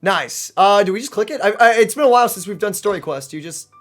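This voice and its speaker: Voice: mid-range voice, expressive, quick pace, a bit bright. A let's play co-host chatting casually over a game, questioning and easily flustered. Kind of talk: casual gameplay commentary chat. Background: game music.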